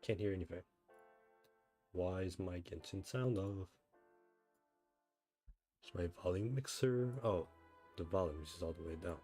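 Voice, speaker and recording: Low-voiced man rambling on a game livestream. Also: measured pace, pauses often, soft background music. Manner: rambling, thinking out loud, self-questioning. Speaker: livestreamer, gamer. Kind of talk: casual livestream chat while playing a game.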